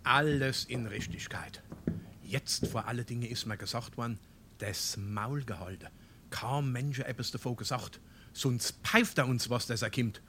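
An actor playing a grumbling, sarcastic husband in a Darmstadt Hessian dialect stage comedy, with an exasperated, blustering manner. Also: male voice, mid-range voice, measured pace, noisy background, close to an everyday voice. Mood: secretive.

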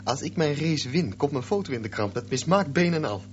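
A man's voice: a little high, expressive, brisk, natural voice. An actor voicing an intense, defiant and bitter sixteen-year-old boy swimmer in a radio drama. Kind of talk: radio drama acting and narration. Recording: muffled, faintly noisy radio drama recording.

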